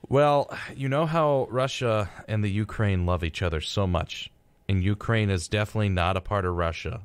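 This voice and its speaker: Radio co-host: young American male, deep-ish pitch, studio-dry, flippant and darkly joking between read-out notes. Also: moderate pace, clean tone, no room sound. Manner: flippant dark humour. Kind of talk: casual joking chat mixed with reading notes.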